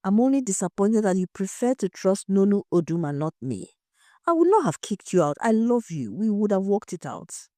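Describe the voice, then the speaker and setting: A woman's voice: medium pitch, sing-song, normal speed, warm tone, dry recording, clean studio sound, lightly acted. A woman voicing a shocked, heartbroken but tender husband in an audio story, weeping and pleading. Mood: grieving, tender. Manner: groaning, weeping, pleading questions. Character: horrified, grieving, tender husband of a confessed killer.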